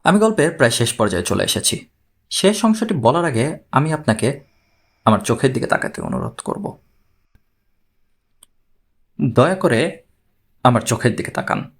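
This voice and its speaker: A man playing a troubled, courteous man who gravely recounts his own strange story in an audiobook. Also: medium pitch, wildly swooping pitch, moderate pace, pauses often. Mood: grave, confiding.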